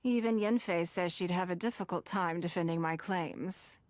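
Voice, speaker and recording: An actress plays a poised, wealthy businesswoman from a video game, with a lowish voice. She is shrewd and coolly commanding, choosing formal words at a measured pace. The sound is close and dry. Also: dry recording, lightly acted. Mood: composed, wry.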